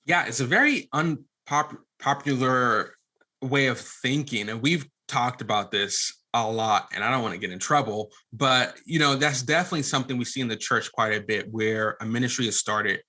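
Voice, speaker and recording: A man's voice: medium pitch, moderate pace, pushed and bright. A man talking casually as an interview guest, often restarting his phrases as he explains his work. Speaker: podcast guest, entrepreneur and audio drama producer.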